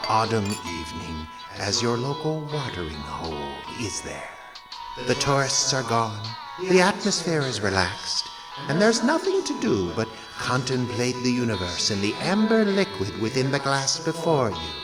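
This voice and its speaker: A man playing an eerie warlock who tells spooky radio tales with dramatic pauses and teasing menace. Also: mid-range voice, wildly swooping pitch, loud music behind the voice, poor audio. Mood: wry, relaxed. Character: eerie, theatrical warlock storyteller in a lakeside cave. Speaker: storyteller host. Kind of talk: spooky radio horror host narration.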